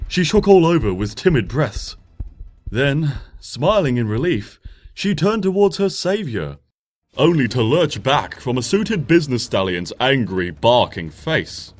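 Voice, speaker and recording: Expressive male storyteller, lively pitch. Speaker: fan fiction reader, narrator. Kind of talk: scripted story narration, audiobook reading. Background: background music.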